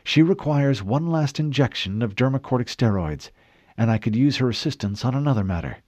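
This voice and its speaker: A man voicing a brisk, businesslike female military scientist in an audiobook. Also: mid-range voice, animated, a little mellow, dry recording, pitched above the performer's own voice, natural voice. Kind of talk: audiobook character voice.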